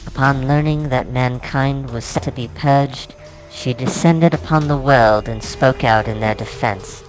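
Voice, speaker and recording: A man reading his own fantasy novel aloud as a dramatic, solemn, measured narration. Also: medium pitch, poor audio. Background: music soundtrack.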